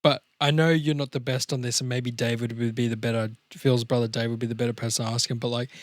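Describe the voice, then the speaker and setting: A man's voice: mid-range voice, flat delivery, quick pace, no echo. A man co-hosting a podcast in casual conversation, blunt and jokey, with some swearing. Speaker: podcast co-host. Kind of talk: casual chat.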